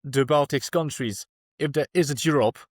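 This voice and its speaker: Man, from France, earnest, restarts sentences, mid pitch, dry close mic. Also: normal speed, dry recording. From France (Alsace). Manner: earnest, searching for words, frequent restarts. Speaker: guest activist. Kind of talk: podcast interview answers.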